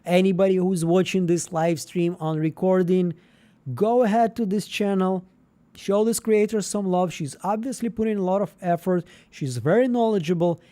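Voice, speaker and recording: Man, livestream host, rambling, slightly high, mellow voice. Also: moderate pace. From Israel. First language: Russian. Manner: rambling, with frequent fillers and restarts. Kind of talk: casual livestream chat.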